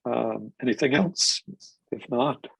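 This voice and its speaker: A man's voice: medium pitch, slow, creaky, slightly rough, dry recording, poor audio. An older man presenting a genealogy webinar, stuffed up from a cold, rambling with restarts and fillers. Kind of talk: live webinar lecture.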